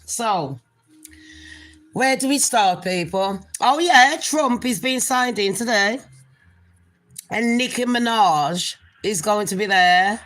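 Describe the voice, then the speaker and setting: A woman with a nasal voice hosts a gossip livestream in a mid-pitched tone. She chats loosely and rambles, often turning to address her viewers directly as people or darlings. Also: slow pace, fairly bright tone. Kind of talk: casual live chat to viewers.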